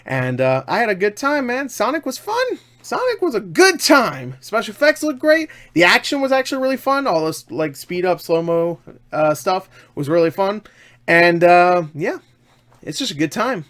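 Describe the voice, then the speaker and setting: A man's voice: sits high, sing-song, normal speed, a bit bright. A man giving a casual, unscripted film review in an enthusiastic, emphatic, rambling way, often repeating himself.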